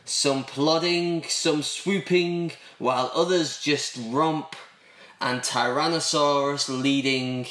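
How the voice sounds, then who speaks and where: A man reading a children's picture book aloud, deliberate and emphatic on action words. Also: on the high side, fairly even intonation, fairly bright tone. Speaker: school staff reader. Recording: roomy, echoey sound.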